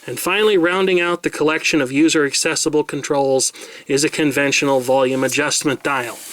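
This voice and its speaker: A man's voice: fairly high pitch, fairly even intonation, fairly quick, fairly bright tone. A middle-aged man from the United States, reviewing a portable radio at his desk in a precise, wordy way with self-mocking asides. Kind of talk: product review narration. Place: desk in a home room.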